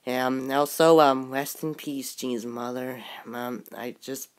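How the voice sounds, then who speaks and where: A woman reacting to a video in excitable commentary, exclaiming and sometimes halting or stammering over words. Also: mid-range voice, smooth. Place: bedroom, indoors.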